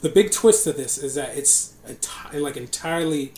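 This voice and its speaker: A man's voice: fairly high pitch, lively intonation, moderate pace, a little mellow. A young man hosting a casual podcast, rambling with fillers and laughing often. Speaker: podcast host. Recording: echoey room, faint noise.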